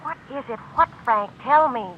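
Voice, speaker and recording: A woman's voice: sits high, normal speed, close to an everyday voice. An actress in an old radio drama playing a man's concerned sweetheart, probing and insistent. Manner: insistent, questioning. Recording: dull, noisy old radio recording.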